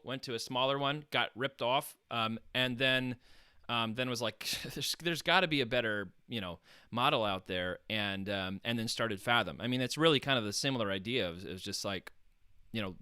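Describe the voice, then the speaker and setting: A man's voice: medium pitch, sharp tone, smooth, no echo, studio quality. A man hosting an interview, reacting with enthusiasm and thinking aloud with frequent fillers. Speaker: interview host. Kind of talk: conversational interview.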